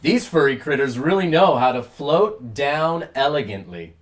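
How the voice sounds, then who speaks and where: Deadpan male talk show host. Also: medium pitch, a little slow, a little hiss or hum. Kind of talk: deadpan comedic news reading and interviewing.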